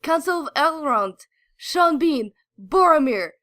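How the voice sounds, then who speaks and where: A woman co-hosting a casual geeky podcast, telling stories in an excitable, giggly, gushing way. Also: high-pitched, takes it slowly, dry recording, clean studio sound. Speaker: podcast co-host. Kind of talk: casual geeky chat, animated storytelling.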